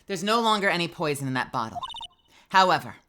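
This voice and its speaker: A woman voicing a composed young female defense attorney who presses a witness with measured, cutting questions. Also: fairly low pitch, lively intonation, noisy background, lightly acted. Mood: accusing. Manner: measured, cutting cross-examination. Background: background music.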